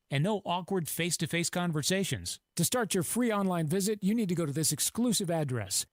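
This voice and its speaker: A man's voice: sits high, no room sound, clean studio sound. A man reading a scripted radio advertisement, addressing listeners directly. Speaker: advertisement reader.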